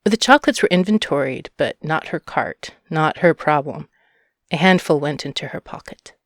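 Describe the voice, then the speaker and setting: A woman's voice: low-pitched, expressive, normal speed, dry recording, clean studio sound. A woman narrating a short story from a script. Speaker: audiobook narrator.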